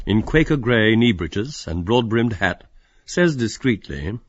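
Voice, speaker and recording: A man's voice: lowish voice, big pitch swings, measured pace, a little hiss or hum. A man narrating an audiobook, reading stage directions as scripted narration. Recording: dry but slightly muffled audio.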